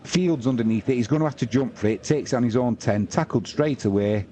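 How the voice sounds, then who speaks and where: A man's voice: medium pitch, a little fast, warm tone, a little hiss or hum. A man doing informal, matey live rugby league commentary on a stream, mixing play-by-play with chatty asides to viewers. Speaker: sports livestream commentator and host.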